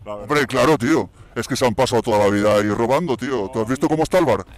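A man's voice: medium pitch, fast talker. A man from Barcelona, a native Spanish speaker, doing casual street interviews in a matey way outdoors with a handheld mic. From Barcelona, Spain. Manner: informal, matey. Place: outdoors on a city bridge. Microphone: handheld interview microphone.

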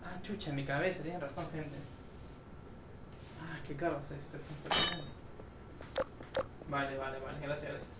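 A young man livestreaming a video game, chatting casually with his viewers and breaking into sudden loud outbursts. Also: fairly high pitch, steady tone, normal speed, pauses often. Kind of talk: casual gameplay livestream chat. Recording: noisy echoey room, from far off.

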